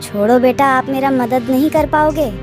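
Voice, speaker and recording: A woman voicing a cunning male fox uncle who flatters and wheedles in a children's storybook tale. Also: sits high, normal speed, voice pushed up, close to an everyday voice. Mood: wheedling. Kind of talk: children's moral storybook character voice. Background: children's music.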